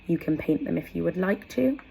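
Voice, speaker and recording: A woman's voice: fairly low pitch, animated, brisk, gravelly, mellow, low-quality recording. A woman presenting in an informal instructional vlog, explaining conversationally with asides as she demonstrates, with faint background noise. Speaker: educator presenting storytelling props.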